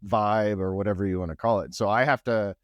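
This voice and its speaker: A man hosting a casual podcast conversation, with a low, deep, loud voice and a talkative, go-getter manner. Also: steady tone, fairly quick, dry recording, highs cut off. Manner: talkative, go-getter energy, frequent restarts. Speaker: podcast host.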